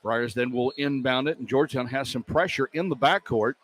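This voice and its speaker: A man's voice: medium pitch, brisk, a bit bright. An American man calling live basketball play-by-play and reading sponsor spots as a radio announcer. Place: broadcast position inside a large indoor arena. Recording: live arena broadcast with background noise. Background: arena ambience and noise.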